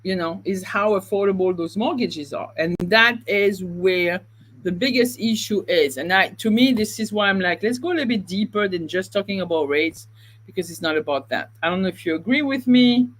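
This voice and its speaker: A woman co-hosting a real estate podcast, chatty and quick to laugh, often trailing off mid-thought. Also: medium pitch, animated. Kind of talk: casual podcast chat.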